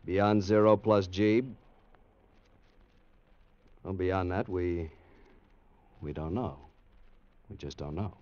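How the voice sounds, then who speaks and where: Uneasy engineer, low deliberate voice, muffled 1950s radio drama. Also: male voice, fairly even intonation, darkish tone. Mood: grave. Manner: deliberate, hesitant. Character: earnest, uneasy male engineer briefing a colonel. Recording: dull vintage radio recording.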